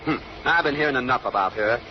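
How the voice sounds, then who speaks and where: A man's voice: mid-range voice, expressive, fast, close to an everyday voice. A man playing a surly, cynical gangster sidekick in 1930s radio drama, slangy, grumbling and nervy. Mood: surly, fed up. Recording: muffled, hissy old radio broadcast.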